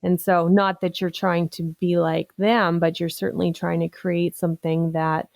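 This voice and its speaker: A woman's voice: lowish voice, level tone, normal speed, gravelly, a little mellow, no echo, clean studio sound. A woman hosting a podcast interview who encourages her guest and sums up the guest's points. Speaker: podcast host.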